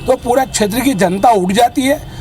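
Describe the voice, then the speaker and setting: A man's voice: extremely high pitch, normal speed, darkish tone, a little hiss or hum. A man with an Indian accent giving an unscripted complaint to the press.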